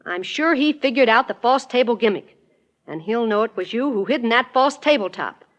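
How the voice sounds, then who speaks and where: High-voiced, insistent radio-mystery dame. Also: female voice, expressive, normal speed, fairly bright tone. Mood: urgent, pleading. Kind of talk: 1940s radio detective drama acting.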